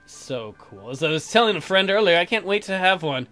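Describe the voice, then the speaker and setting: A man with a fairly high, bright voice gives casual let's play commentary. He reads game text aloud and riffs on it irreverently, with joking and swearing, over faint music. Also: sing-song, fairly quick.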